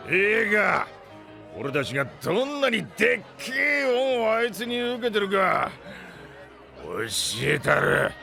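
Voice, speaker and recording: Gruff, gravelly, blustery spaceship captain. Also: male voice, high voice, very animated, unhurried, pushed and bright, faint music behind, exaggerated voice. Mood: boastful reminiscing. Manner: blustery, rough-spoken. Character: gruff, loud, hot-tempered middle-aged male spaceship captain. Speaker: ship captain. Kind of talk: Japanese audio drama acting.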